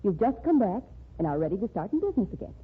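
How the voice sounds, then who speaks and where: A woman's voice: mid-range voice, sing-song, very fast, breathy edge. An actress playing a determined woman writer who pushes a man to start over, in an old radio drama. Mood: persuasive. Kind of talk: 1940s radio drama acting. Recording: tinny lo-fi old radio broadcast.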